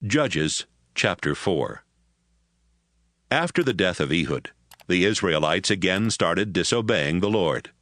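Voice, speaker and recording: A man narrating scripture in a steady, measured storytelling voice. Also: sits low, no echo. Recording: narration over background music.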